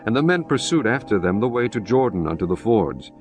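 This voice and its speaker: A man narrating scripture in a solemn, measured way. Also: lowish voice, sing-song, faint music behind.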